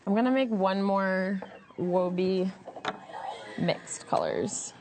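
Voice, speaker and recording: A woman's voice: mid-range voice, slow, a crowd in the background. A woman hosting a live stream, cheerful and easily amused as she chats. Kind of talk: casual live chat.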